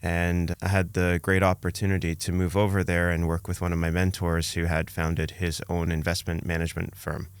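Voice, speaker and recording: Low, smooth-voiced man. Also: monotone, moderate pace, hardly any pauses, no room sound. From Canada, born in Romania. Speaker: podcast guest, investor. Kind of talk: conversational personal storytelling.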